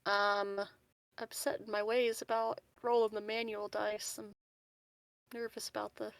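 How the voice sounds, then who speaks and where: A woman playing a tabletop game, casually saying her moves aloud with hesitant pauses. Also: mid-range voice, level tone, creaky, smooth, dry recording, rough recording. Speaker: player in a tabletop RPG. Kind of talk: casual game chat, narrating own moves.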